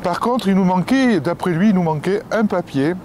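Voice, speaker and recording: A man's voice: sits high, lively intonation, moderate pace, a little mellow. A man telling a travel story in a casual vlog, rambling with fillers and restarts. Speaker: travel vlogger. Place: outdoors and aboard a ferry. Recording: noisy outdoor recording.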